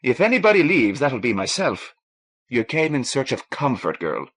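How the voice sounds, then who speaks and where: A man voicing a warm, frank Irish woman, a ship's doctor, in a lilting, coaxing manner for an audiobook. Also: mid-range voice, sing-song, moderate pace, slightly rough, voice pushed up, exaggerated voice. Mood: concerned, firm.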